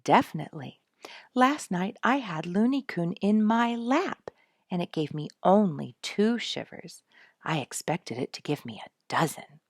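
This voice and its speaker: A woman's voice: on the low side, big pitch swings, measured pace, slightly rough, no echo, studio quality, voice pushed up, heavily acted. A woman voicing a busy, self-satisfied mother in a read-aloud story, speaking with emphasis. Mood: smug. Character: busy, smug mother, a hesitant animal lover.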